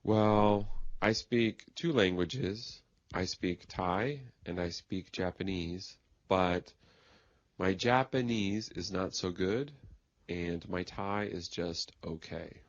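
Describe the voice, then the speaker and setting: Man, very low voice, unhurried, simple learner-friendly talk, dull dry recording. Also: dry recording. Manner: plain, careful wording. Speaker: conversation partner in English lesson. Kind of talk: slow, simple conversation for learners.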